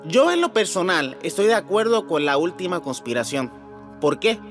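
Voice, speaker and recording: A man presenting scripted podcast commentary as host, putting rhetorical questions to his listeners. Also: high-pitched. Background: background music.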